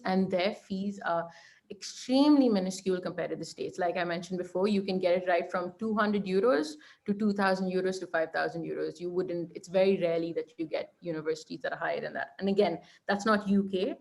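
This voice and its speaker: A woman's voice: lowish voice, steady tone, a little fast, vocal fry, a little mellow. A young woman with an Indian accent, a law lecturer speaking as an interview guest, giving earnest advice with frequent restarts and "right?" checks. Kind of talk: online interview conversation.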